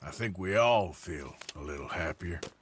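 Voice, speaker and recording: A man's voice: low-pitched, expressive, normal speed, a little hiss or hum. An actor with an American accent playing a rugged, laconic outlaw gunman in a Western video game, with a dry manner. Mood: reflective.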